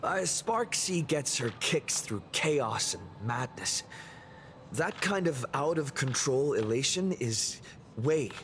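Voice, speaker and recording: A man's voice: mid-range voice, very animated, slow, fairly bright tone, a little hiss or hum, exaggerated voice. A man voicing a modest, earnest young hero giving an interview in an anime-style game. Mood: polite, slightly awkward.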